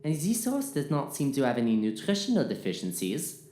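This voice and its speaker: Woman acting a earnest science robot, low voice, formal and literal, echoey, sci-fi audio drama. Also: wildly swooping pitch, a little fast, dark tone, exaggerated voice. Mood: matter-of-fact. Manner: formal, literal, matter-of-fact. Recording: roomy echo, faint noise.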